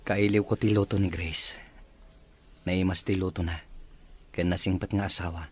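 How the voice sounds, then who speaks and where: A man's voice: sits low, fairly even intonation, normal speed, close to an everyday voice. A man acting a confused, sulky, pining husband in an audio drama. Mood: wistful. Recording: faint background noise.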